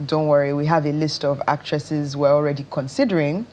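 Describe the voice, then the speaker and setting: A woman with a Nigerian accent giving measured, expressive answers as an actress being interviewed. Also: sits low, slight background noise. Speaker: interview guest, stage and screen actress.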